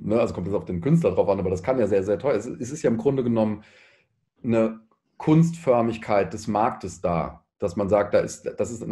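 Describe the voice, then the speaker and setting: Guy in his 30s, low soft-edged voice, halting, feeling his way through an idea in a discussion. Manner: halting, searching, repeats words as he thinks. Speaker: panelist, artist. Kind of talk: discussion, thinking aloud.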